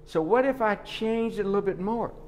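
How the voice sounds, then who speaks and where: A man's voice: high-pitched, fairly quick, darkish tone. A middle-aged man giving a folksy, question-and-answer tour of a barrel warehouse on a live stream, filmed on a phone. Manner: folksy, explanatory, asks questions and answers them. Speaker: distillery tour guide. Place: large indoor barrel warehouse and walk-in cooler. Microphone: phone camera held by someone walking with him. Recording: long reverb, faint noise in a big warehouse.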